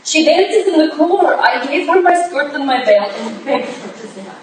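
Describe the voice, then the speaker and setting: A woman's voice: sits high, expressive, normal speed. A woman playing a proud, desperate young foreign ballerina in a staged reading, urgent and defensive. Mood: anxious. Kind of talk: staged reading of a period drama. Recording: distant, very echoey room, faint noise.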